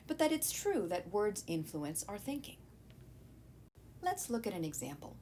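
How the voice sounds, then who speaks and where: Female teacher for lesson narration, very lively intonation, explanatory and direct to students, some background noise with rumble. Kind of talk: scripted educational narration.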